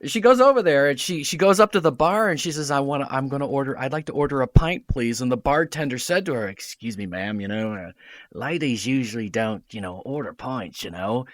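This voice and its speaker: A man's voice: mid-range voice, lively intonation, a little fast, no room sound. A man guesting on a podcast, telling a jokey anecdote. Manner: jokey storyteller. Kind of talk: casual chat, telling an anecdote.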